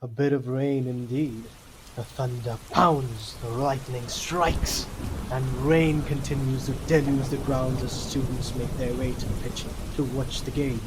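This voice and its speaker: A man's voice: mid-range voice, steady tone, a little slow. A man narrating a scripted story for an audio drama. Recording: noisy, rain and thunder effects behind.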